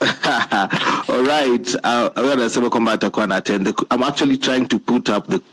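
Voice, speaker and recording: A man hosting a live audio space, speaking lively and laughing between remarks. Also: medium pitch, a little fast, breathy edge, rough recording. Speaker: host.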